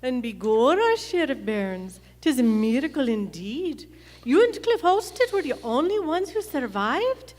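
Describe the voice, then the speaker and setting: A feisty frontier Irishwoman in a Western radio play. Her high voice swoops through a thick stage brogue, drawn out for drama. It rings in an echoey theatre hall. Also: sing-song, a little mellow. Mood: dramatic, superstitious. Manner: lilting, theatrical, drawn-out delivery. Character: feisty Irish frontier woman full of sayings.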